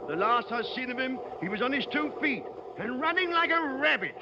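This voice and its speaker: Frantic rough pursuer, super high voice, muffled noisy old radio drama. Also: male voice, fairly quick, loud music behind the voice, full character voice. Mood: agitated. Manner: excited, rough colloquial. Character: agitated man shouting on a train chase. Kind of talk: 1930s radio acting.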